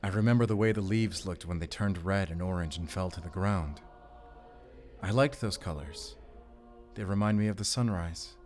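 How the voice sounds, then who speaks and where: A haunted werewolf hunter, played by a man in an audio drama. His voice sits low, and he speaks tersely and solemnly, guarded and defiant. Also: moderate pace, darkish tone, faint music behind, natural voice. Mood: wistful. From Germany. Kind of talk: cinematic audio roleplay acting.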